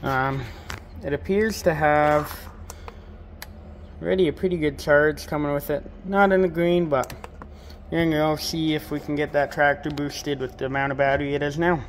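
Young farmer guy, kinda high voice, mumbles along while fixing stuff, head cam, little background noise. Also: fairly even intonation, moderate pace. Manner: laid-back, rambling, lots of fillers. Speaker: farm vlogger. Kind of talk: casual narration while working. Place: farm workshop and barn.

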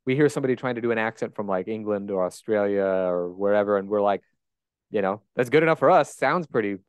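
A man's voice: lowish voice, animated, quick pace, clean tone, dry recording, clean studio sound. A man in his thirties with a Great Plains American accent, hosting a casual podcast interview and asking curious, chatty questions with frequent restarts. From United States. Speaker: podcast host. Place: bright room at home. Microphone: handheld dynamic mic close up.

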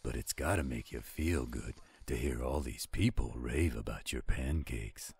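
A man's voice: rumbling low, unhurried, creaky, a little mellow, husky, full character voice. A man playing a pushy, loyal friend in a roleplay audio drama, steadily teasing and insisting. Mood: teasing, insistent.

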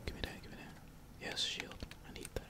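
A gamer whispering slangy, laid-back ASMR commentary while playing, with casual swearing. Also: fairly quick. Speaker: gaming streamer.

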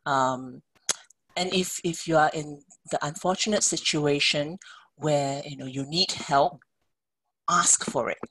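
A woman speaks as a webinar guest, earnest and repeating words while she looks for the right phrase. Her voice sits low and sounds husky. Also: takes it slowly, fairly bright tone, low-quality recording. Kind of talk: webinar talk.

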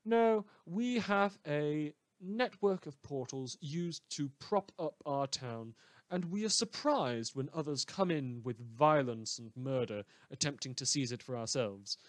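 A man playing an unhinged, sardonic radio host who delivers halting, wordy monologues in a theatrical manner. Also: a little high, expressive, dry recording. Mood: sardonic, musing. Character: rambling, unhinged male radio host in a superhero world. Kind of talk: satirical radio news monologue.

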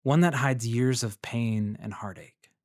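An actor playing a polite, measured freelance journalist who narrates his investigation in a fiction podcast. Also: mid-range voice, dry recording, studio quality, lightly acted. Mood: somber, observant. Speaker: narrator and lead character.

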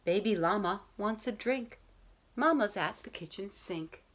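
A woman's voice: mid-range voice, lively intonation, unhurried. A middle-aged woman reading a picture book aloud to children, expressively, drawing out some words. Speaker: read-aloud host. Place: room at home, indoors.

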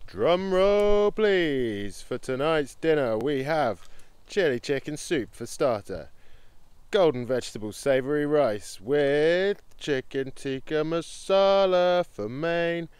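A man's voice: a little high, expressive, slow pace, a little mellow, clean tone, no echo. Cheerful man vlogging outdoors. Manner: cheerful, playful, jokey asides. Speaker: bushcraft vlogger. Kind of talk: casual outdoor vlog commentary. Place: woodland outdoors, in the rain.

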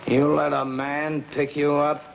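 A menacing middle-aged gangster, terse and incredulous, with a slightly high, gravelly voice. It is fifties noir acting on a dull old soundtrack. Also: male voice, completely flat delivery, unhurried. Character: menacing double-crossing gangster, stunned and incredulous. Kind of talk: 1950s noir film acting. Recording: dull, noisy 1950s film soundtrack.

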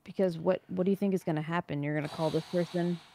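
A woman's voice: fairly low pitch, fairly even intonation, fast, mellow, no echo. A woman co-hosting a stream, chatting casually, sarcastic and often laughing. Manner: sarcastic, laughing, teasing back. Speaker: co-host on stream.

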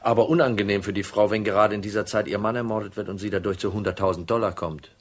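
An actor playing a hard-nosed, dry and insistent insurance detective in a German crime radio play. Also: male voice, lowish voice, steady tone, quick pace. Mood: insinuating. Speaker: narrator and lead actor.

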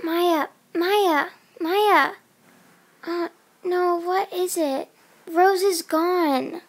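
A woman's voice: very high voice, very slow, full character voice. A young woman voicing casual, dramatic girls planning a sleepover in an amateur doll movie. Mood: uneasy. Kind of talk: amateur doll stop-motion voice acting.

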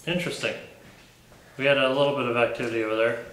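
A man's voice: mid-range voice, fairly even intonation, fast talker, fairly bright tone. A middle-aged man working as a paranormal investigator, calling out to spirits and chatting casually in a small room. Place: small dark room indoors. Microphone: camera mic across the room. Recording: echoey room, noticeable background noise.

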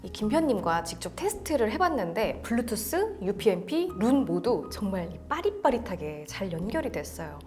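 A woman's voice: medium pitch, big pitch swings, moderate pace, hardly any pauses, darkish tone, faint music behind. A woman co-hosting a product review, speaking conversationally with an attentive, enthusiastic manner. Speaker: co-host of audio equipment review.

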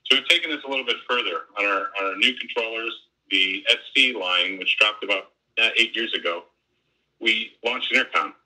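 A man gives a conversational technical walkthrough over a video call. His voice sits slightly low, with an extremely bright, sharp edge. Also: brisk, poor audio. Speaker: technical specialist giving a demonstration. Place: home room, indoors, on a video call. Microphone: wired earbuds on a laptop video call.

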